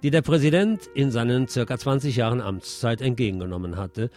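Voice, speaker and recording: A man's voice: medium pitch, brisk, a little mellow, faint music behind. A man presenting radio news from a script, reading reports and adding wry asides.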